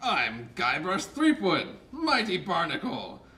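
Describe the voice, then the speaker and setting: Man playing a grandiose barnacle, slow and forceful. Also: fairly high pitch, wildly swooping pitch, heavily acted. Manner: grandiose, theatrical delivery. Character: boastful barnacle on the deep seafloor. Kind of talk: live puppet show. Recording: distant live theatre recording, faint noise.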